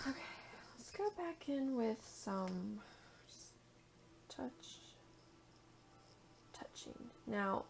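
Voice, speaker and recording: A young woman, raspy and tired after a long day, chatting casually as she demonstrates an art journaling technique and sometimes murmuring to herself. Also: fairly high pitch, darkish tone, slight background noise, poor audio. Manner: chatty, thinks aloud while working, drops to a murmur at times. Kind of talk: casual crafting tutorial.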